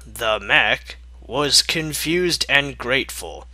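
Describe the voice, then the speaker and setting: Man, fanfiction reader, forceful bright tone, mid pitch, unhurried, sarcastic narration, dry close sound. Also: expressive, no room sound. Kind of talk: story narration with sarcastic asides.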